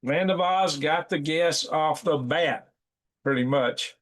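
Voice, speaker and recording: A hoarse man hosting a casual livestream, rambling folksily with fillers and restarts while reading out viewer comments. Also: a little high, takes it slowly, a bit bright. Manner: reads chat names aloud and answers viewers. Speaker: livestream host.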